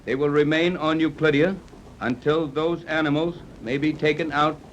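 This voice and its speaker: An actor playing a confident, decisive ship captain in a 1930s radio adventure serial. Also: male voice, on the high side, fairly even intonation, moderate pace, muffled recording, lightly acted. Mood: stern, deliberate. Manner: decisive, clipped orders. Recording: noisy 1930s radio recording.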